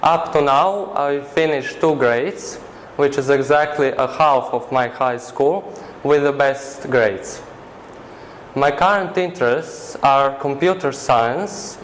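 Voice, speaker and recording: A teenage boy from Croatia with a Croatian accent, a native Croatian speaker answering interview questions in an earnest, measured way with halting phrasing. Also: mid-range voice, a little hiss or hum, rough recording. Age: teens. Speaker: interview guest.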